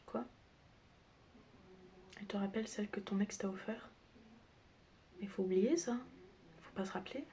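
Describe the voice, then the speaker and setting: A woman doing an ASMR roleplay in a breathy whisper mixed with soft speech, teasing and chatty as she addresses the listener like a close friend. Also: mid-range voice, moderate pace, long pauses. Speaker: ASMR creator role-playing as a doctor and best friend. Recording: clear background noise with clicking sounds.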